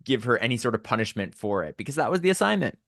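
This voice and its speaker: A man's voice: mid-range voice, very animated, fast, gravelly, fairly bright tone, dry recording, studio quality. A man from Southern Alberta with a Canadian accent, telling personal stories conversationally and articulately as a podcast guest.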